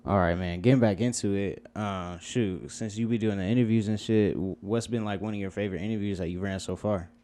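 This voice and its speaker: Deep-voiced easygoing male host. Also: fairly even intonation, fairly quick, few pauses, warm tone, a little hiss or hum. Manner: slangy, easygoing questioning. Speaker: interview host. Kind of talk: casual interview questions.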